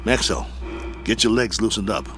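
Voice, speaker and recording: A man's voice: fairly low pitch, normal speed, natural voice. An American actor in an audio drama playing a stubborn, desperate male manager of a fighting robot, urgent and commanding. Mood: eager, urging. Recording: loud music under the voice.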